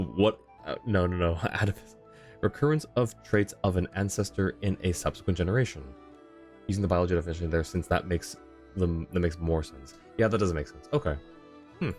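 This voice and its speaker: A man's voice: low voice, a little mellow. A man doing casual, chatty livestream commentary on a game, reacting and replying to his chat. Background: faint game music.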